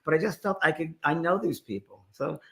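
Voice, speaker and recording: Fast, bright-voiced male host, prompting questions. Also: on the high side, vocal fry. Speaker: show host. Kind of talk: interview chat.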